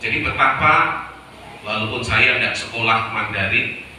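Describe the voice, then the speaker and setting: A middle-aged man from Solo, giving a Confucian sermon in a storytelling way, slowing down to stress key words. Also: medium pitch, sharp tone, slight background noise. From Solo, Central Java, Indonesia. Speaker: Confucian preacher. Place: temple hall. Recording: echoey hall, voice from far off.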